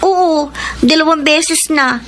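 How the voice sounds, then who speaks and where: A woman's voice: sits high, slow, background noise. A woman playing an earnest, loyal young Filipino granddaughter in a radio drama, with youthful delivery. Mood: worried.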